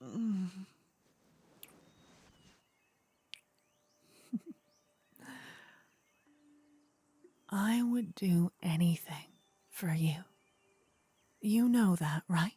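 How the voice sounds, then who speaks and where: A woman voicing a sinister, possessive demon queen in a breathy, partly whispered ASMR fantasy roleplay, with an imperious, seductive, savoring manner. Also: lowish voice, slow pace, dark tone, no echo, exaggerated voice. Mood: tender, seductive.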